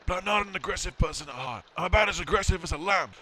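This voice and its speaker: An actor playing a defensive, self-pitying, hard-drinking farmer's son, earnest and insistent, in a comic audio drama. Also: male voice, sits high, rapid-fire, a crowd in the background, close to an everyday voice.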